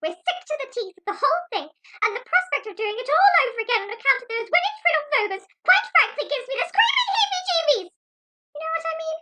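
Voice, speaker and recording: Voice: very high-pitched, very animated, fairly quick, noisy background. An actor voicing a pompous, jaded talking mouse in an amateur audio drama. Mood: exasperated. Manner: pompous, exasperated.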